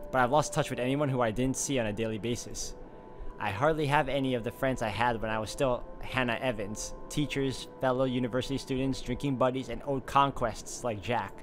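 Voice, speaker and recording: Guy reading a visual novel out loud, cracks jokes, clear music behind. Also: mid-range voice. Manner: wry, quips between narration. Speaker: game streamer.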